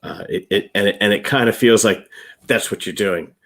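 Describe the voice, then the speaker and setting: Male co-host, middle-aged, on the low side. Loose, chatty review delivery that circles back and repeats words. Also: normal speed, a bit bright. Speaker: co-host of a board game review show. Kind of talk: casual chat, reviewing board games.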